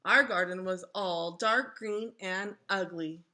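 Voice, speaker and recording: A woman reading a children's storybook aloud in an expressive, instructive way, like a teacher engaging young listeners. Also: mid-range voice, slow pace, a little hiss or hum.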